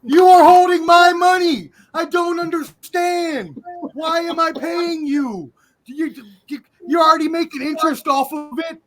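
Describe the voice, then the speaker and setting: High-pitched male guest, animated, exasperated rants, chatty podcast delivery. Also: unhurried, rough recording. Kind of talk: casual chat.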